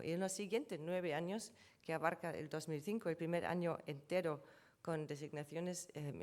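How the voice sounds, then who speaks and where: A woman's voice: low-pitched, a little mellow. A woman whose first language is German, giving a conference presentation and explaining figures step by step, often correcting herself as she goes. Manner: explanatory, self-correcting, walks through figures from slides. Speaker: conference speaker on trademarks.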